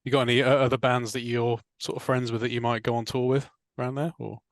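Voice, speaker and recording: Fast-talking English interviewer in a car. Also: male voice, mid-range voice, little pitch movement, no echo, clean studio sound. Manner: quick, curious questions with restarts. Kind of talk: casual interview questions. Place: inside a parked car.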